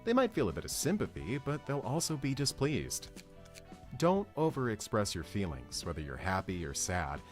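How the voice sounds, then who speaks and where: A stoic, philosophical servant from a game story, played by a man, lecturing formally. The low voice moves in lively swings over faint music. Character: polite, stoic male servant-librarian, quietly philosophical. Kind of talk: amateur game script read-along.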